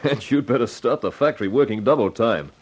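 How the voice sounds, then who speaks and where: A man's voice: medium pitch, a little fast, husky, natural voice. An actor playing a wry, confident advertising man who narrates a 1950s radio crime drama, unflappable in manner. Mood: wry confidence.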